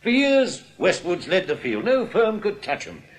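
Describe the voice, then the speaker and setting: A man playing a smooth, domineering English bank manager in a radio drama, with a condescending, clubby manner. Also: sits high, very animated, measured pace, full character voice. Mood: smoothly placating. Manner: condescending, old-school clubby address. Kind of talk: British radio drama acting.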